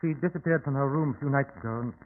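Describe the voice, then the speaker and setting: An actor playing a polite, anxious gentleman of a manor, formal and hesitant, in an old-time radio drama. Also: medium pitch, fast, a little hiss or hum, close to an everyday voice. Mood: guarded, hesitant. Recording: tinny, phone-like old radio recording.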